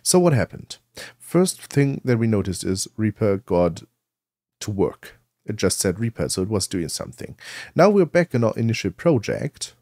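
Low-voiced man with lively intonation. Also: normal speed, no echo, clean studio sound. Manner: explanatory, step-by-step. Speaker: tutorial presenter. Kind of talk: spoken software tutorial.

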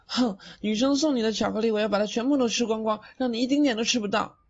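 A man's voice: very high-pitched, fast talker, a little hiss or hum. A man acting in an audio drama as a sweet, naive teenage boy ghost with a childlike, pouty manner. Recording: slightly dull audio, faint music behind.

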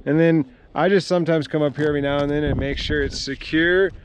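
A man in his thirties casually reviewing gear outdoors beside his van, relaxed and full of fillers. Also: fairly high pitch, fast talker, slight background noise. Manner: relaxed, filler-heavy, easygoing. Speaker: vlogger.

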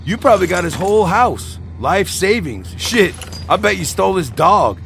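Game prisoner, brash slangy needler, fairly high animated voice, faint road rumble. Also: male voice, normal speed, vocal fry, exaggerated voice. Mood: taunting. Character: cocky, needling, slangy convict, self-pitying. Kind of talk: video game dialogue acting.

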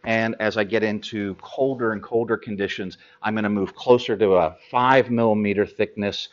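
An American man in his fifties presenting gear as an expert, explaining informally and haltingly to camera. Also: fairly low pitch, steady tone, highs cut off. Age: 50s.

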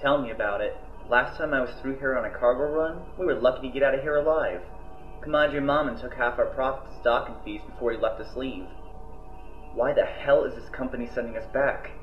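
A man's voice: medium pitch, brisk, natural voice. A man playing a grumbling, world-weary cargo ship pilot in an audio drama, talking casually. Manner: casual grousing. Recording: dull, echoey sound with music.

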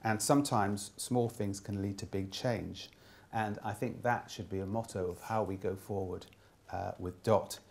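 A middle-aged man with a fairly low voice speaks to a conference as keynote speaker. He works semi-scripted from notes, halting and doubling words as he sums up his points. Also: steady tone, gravelly, a little mellow. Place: indoor room with plain wall.

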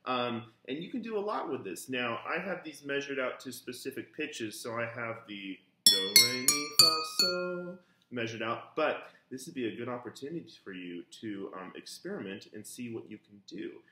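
A man in his thirties, a music teacher giving a relaxed, chatty lesson at home, full of ums and uhs, recorded by a camera on a kitchen table. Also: mid-range voice, normal speed. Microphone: camera on the table in front of him. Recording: echoey kitchen with faint noise. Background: glasses clinking.

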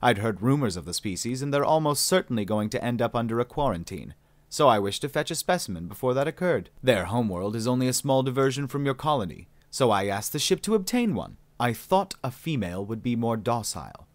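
A man reading an audiobook, voicing a calm, condescending male alien scientist with polite, mocking, unhurried speech. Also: mid-range voice, dry recording, close to an everyday voice. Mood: irritated, lecturing.

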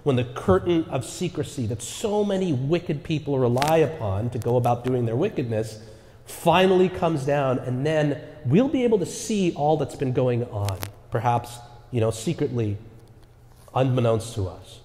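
Mellow-voiced man preaching in echoey church. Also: mid-range voice, animated, moderate pace, a little hiss or hum. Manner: earnest preaching with repeated rhetorical "right?" asides. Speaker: pastor. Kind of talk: sermon. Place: church sanctuary. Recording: echoey church with long reverb.

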